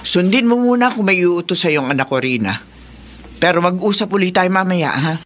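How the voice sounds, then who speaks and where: A woman's voice: lowish voice, lively intonation, brisk, a little hiss or hum, close to an everyday voice. A woman playing a worried, suspicious elderly mistress of a house in a radio drama. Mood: uneasy, suspicious. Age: older.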